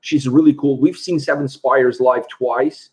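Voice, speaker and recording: A man's voice: mid-range voice, fairly even intonation, a little slow, husky. A man from Portugal hosting a casual livestream, chatting and answering viewer questions. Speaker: host.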